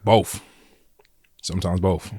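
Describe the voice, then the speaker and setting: A young man with a New York accent and a deep voice, talking bluntly and casually as a podcast guest, full of slang and "like" and "bro". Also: steady tone, takes it slowly, dark tone, husky, dry recording, clean studio sound. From Flatbush, Brooklyn, New York. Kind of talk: casual conversation.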